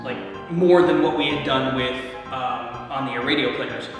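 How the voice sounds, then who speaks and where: A man's voice: mid-range voice, moderate pace. A man who directs and writes radio plays, telling his story plainly in an interview. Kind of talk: interview talk. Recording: echoey room with music behind.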